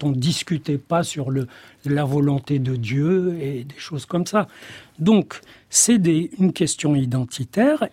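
An older man from Morocco whose first language is Arabic, speaking as a guest in an intellectual panel discussion, measured and reflective with frequent hesitations. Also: a little high, dark tone, no room sound.

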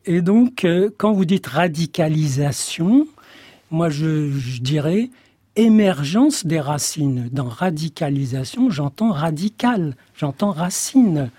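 An older man from Morocco whose first language is Arabic, speaking as a guest in an intellectual panel discussion, measured and reflective with frequent hesitations. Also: fairly high pitch, animated, warm tone, breathy edge, dry recording, studio quality.

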